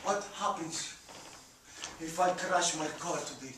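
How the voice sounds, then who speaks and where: An actor playing a stubborn, weary immigrant landowner on stage, with clipped non-native phrasing and an emphatic, dismissive manner. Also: male voice, a little high, a little slow, background noise, lightly acted. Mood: weary, anxious. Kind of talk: stage play acting. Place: indoor theater stage. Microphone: far camera. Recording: echoey theater stage, distant camera mic.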